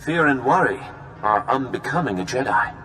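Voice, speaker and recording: Solemn, reverberant Jedi elder. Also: male voice, medium pitch, expressive, moderate pace, pushed and bright. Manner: solemn, formal. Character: serene, wise male Jedi elder. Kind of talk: video game dialogue. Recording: long reverb, music behind.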